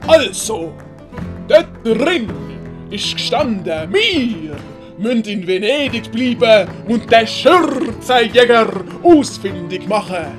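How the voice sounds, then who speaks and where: A woman's voice: a little high, big pitch swings, slow, over music. A woman voicing a pompous, boastful male Spanish captain in a comic audio play, bossy and commanding, with a Swiss German accent.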